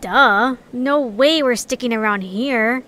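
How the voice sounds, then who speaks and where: A woman's voice: fairly high pitch, slow pace, bright, forceful tone, slight background noise. A woman playing a snarky, proud, hot-tempered young adventurer in an audio drama, sarcastic and scornful.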